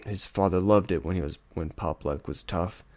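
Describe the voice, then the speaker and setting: A male audiobook reader with a low-pitched voice. He delivers scripted fiction as dramatic narration. The recording is dry, with hardly any room sound. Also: normal speed, darkish tone, dry recording.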